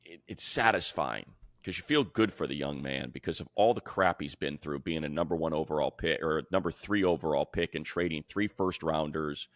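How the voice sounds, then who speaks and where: A man with a deep voice talks football as a podcast co-host. His delivery is conversational and colloquial, like an analyst chatting with a colleague. The sound is dry, with very little room on it. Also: normal speed, a bit bright, no room sound. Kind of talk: casual sports talk.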